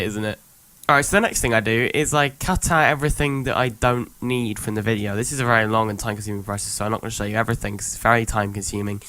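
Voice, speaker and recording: A man's voice: medium pitch, a bit bright. A young man with a voice worn by illness, walking through his video editing in a casual, rambling screen-recorded tutorial full of ums. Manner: rambling, thinks aloud with many ums. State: sick, voice worn. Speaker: youtuber showing his editing.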